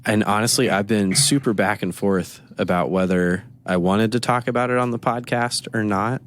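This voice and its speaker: A man's voice: on the low side, moderate pace. A man co-hosting a podcast, chatting casually and telling rambling stories with quoted back-and-forth. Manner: rambling, animated storytelling with quoted exchanges. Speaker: podcast co-host. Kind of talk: casual chat.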